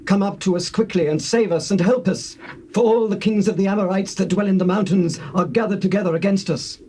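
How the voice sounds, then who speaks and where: An actor playing a desperate Gibeonite messenger, urgently pleading in a dramatized scripture reading. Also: male voice, sits high, fairly quick, darkish tone, lightly acted. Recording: old cassette tape with faint noise.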